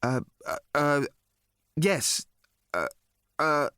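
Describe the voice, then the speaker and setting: A man's voice: a little high, sing-song, extremely slow, sharp tone, smooth, no room sound, clean studio sound, exaggerated voice. A man voicing a helpless, desperate man in an audiobook, stammering and repeating himself. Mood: flustered. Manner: stammering, repetitive. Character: helpless, flustered, desperate man left stranded.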